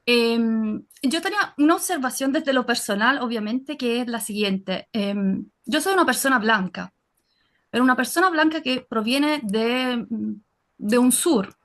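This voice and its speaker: A woman's voice: fairly high pitch, animated, unhurried, fairly bright tone. A woman from Naples with an Italian accent, giving an earnest personal comment in Spanish over a video call, with frequent hesitations. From Naples, Italy. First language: Italian and Neapolitan. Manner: earnest, frequent fillers and restarts. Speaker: audience participant. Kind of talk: reflective personal comment in an online session.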